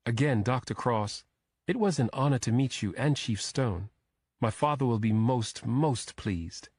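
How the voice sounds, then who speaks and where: A man's voice: medium pitch, expressive, unhurried, darkish tone, breathy edge, no room sound. A man acts out an earnest young woman in an audiobook. She speaks with polite, admiring formality. The recording is dry and close. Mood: grateful, formal. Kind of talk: audiobook character dialogue.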